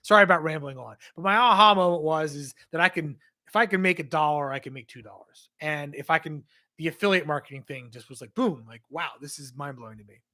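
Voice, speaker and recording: A man hosting a casual livestream Q&A, rambling as he answers viewer questions, his voice strained and hoarse from losing it. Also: fairly high pitch, quick pace, sharp tone. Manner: rambling, conversational, reads out and answers chat questions.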